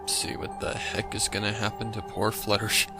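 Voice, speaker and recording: A man's voice: fairly low pitch, monotone, moderate pace. A man hosting a reaction video, commenting in a casual, informal and rambling way. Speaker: host of a reaction channel. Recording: music playing behind the voice. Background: music.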